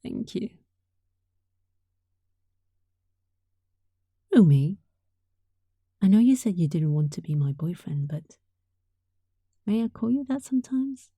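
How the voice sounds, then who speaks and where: A woman's voice: fairly low pitch, lively intonation, normal speed, long pauses, no echo. A woman playing a sweet, affectionate young catgirl roommate in an intimate roleplay, speaking tenderly and breathily, often close to a whisper. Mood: cuddly, affectionate. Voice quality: soft, breathy. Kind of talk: intimate roleplay audio, softly acted monologue.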